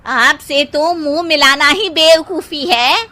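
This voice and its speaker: A woman's voice: high-pitched, slow pace, sharp tone, heavily acted. A woman voicing a touchy, defensive mother of the bride in a comic family cartoon, emphatic and indignant.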